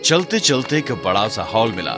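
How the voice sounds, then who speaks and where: A man narrating a cartoon horror story in a lively, dramatic way. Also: lowish voice, normal speed. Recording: narration over loud music.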